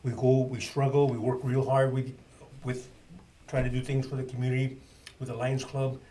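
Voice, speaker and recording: An older retired man, a guest in a casual interview, speaking haltingly with many uhs. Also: medium pitch, flat delivery, dark tone, far from the mic, low-quality recording. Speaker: guest, club member.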